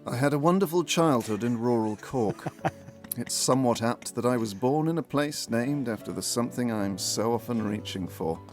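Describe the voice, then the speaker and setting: An actor playing an eccentric detective inspector in a comic audio drama, pompous and given to sentimental storytelling. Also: male voice, medium pitch, expressive, moderate pace, faint music behind, natural voice. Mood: wistful reminiscing.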